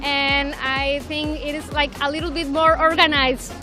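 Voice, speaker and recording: Woman, high voice, halting answers, music under. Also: level tone. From Costa Rica. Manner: hesitant, searching for words. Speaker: interviewee.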